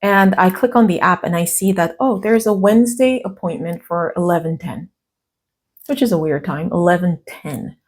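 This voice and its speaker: A woman's voice: on the low side, moderate pace, darkish tone. An American woman vlogging casually, telling a story from her notes with quoted asides, her voice still worn from a cough. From United States. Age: middle-aged. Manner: chatty, reading from notes, quoting others in asides. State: recovering from a cough and lost voice.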